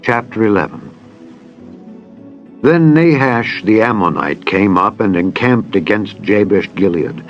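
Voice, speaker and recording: A man's voice: lowish voice, animated, a little slow. A man narrating a dramatized scripture audiobook in a solemn, formal, declamatory way. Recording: dull band-limited audio with music. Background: background music.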